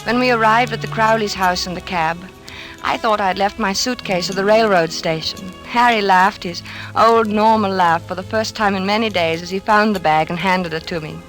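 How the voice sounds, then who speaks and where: An actress playing an anxious, devoted wife who narrates an old-time radio drama. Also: female voice, mid-range voice, normal speed, fairly bright tone, soft background music, lightly acted, poor audio. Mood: grave narration.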